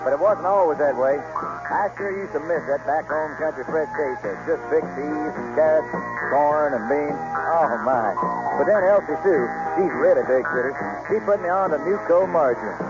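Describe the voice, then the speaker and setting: A man's voice: fairly high pitch, moderate pace, natural voice. An actor playing a homespun, chatty country man in a radio commercial. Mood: folksy, contented. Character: folksy country man happily settled in the city. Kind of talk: acted radio jingle commercial. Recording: dull 1970s radio broadcast. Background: loud music.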